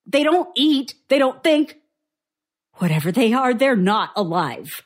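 A woman's voice: a little high, sing-song, unhurried. A woman playing a bitter, impatient survivor in her thirties in an audio drama, curt and sarcastic in a natural style. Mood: angry, indignant.

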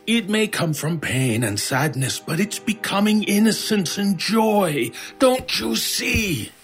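An actor playing an older man in a horror radio drama, husky, protective and earnest, pleading desperately. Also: high voice, very animated, takes it slowly, a bit bright, faint music behind, heavily acted. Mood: earnest, intent. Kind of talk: old-time radio style horror audio drama acting.

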